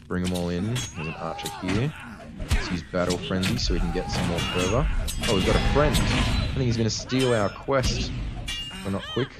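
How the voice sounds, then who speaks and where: Very deep-voiced man with a tired voice. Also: moderate pace. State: tired voice after a cold, cutting out at times. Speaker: let's play host. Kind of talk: casual gameplay commentary. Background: game music.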